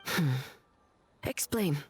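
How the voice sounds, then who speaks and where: A woman playing a dignified, resolute commander in a video game, speaking formally and with authority. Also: drawn-out words. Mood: guarded. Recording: music behind the voice.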